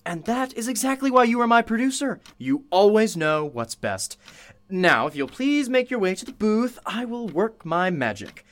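Actress as a breezy, animated radio studio worker. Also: female voice, mid-range voice, normal speed, natural voice. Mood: breezy, flattering. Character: busy, deferential radio engineer addressing a producer. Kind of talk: radio drama acting.

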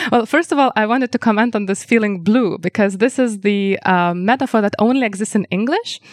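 Measured Lithuanian-born woman researcher. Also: mid-range voice, no room sound, studio quality. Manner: measured, explanatory, frequent ums. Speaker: researcher guest. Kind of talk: interview answers.

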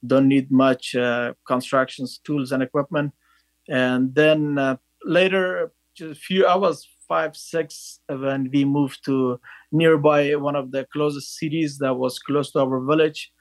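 A man, a podcast guest telling his story in a halting way, with many fillers. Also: medium pitch, steady tone, dry recording. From Afghanistan. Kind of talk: casual interview storytelling.

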